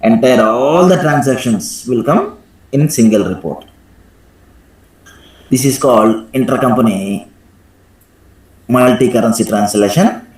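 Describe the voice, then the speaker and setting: A man teaching a live software tutorial step by step, instructing and often checking in with a questioning "right?". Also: mid-range voice, lively intonation, a little slow, pauses often. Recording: echoey room sound.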